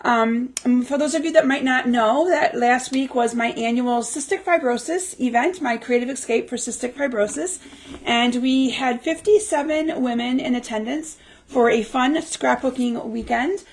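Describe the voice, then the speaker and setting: A woman hosting a crafting livestream in chatty, casual talk full of ums, her voice slightly raspy from a lingering cough. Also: sits high, moderate pace, poor audio. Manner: chatty, lots of ums and restarts. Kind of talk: casual live-stream chat. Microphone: livestream mic.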